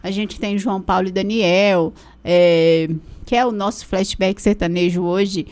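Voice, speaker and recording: A woman's voice: medium pitch, steady tone, unhurried, a little mellow. A Brazilian woman hosting a radio show in a casual, conversational way, readily chiming in. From Brasília, Brazil. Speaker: radio host. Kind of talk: casual chat.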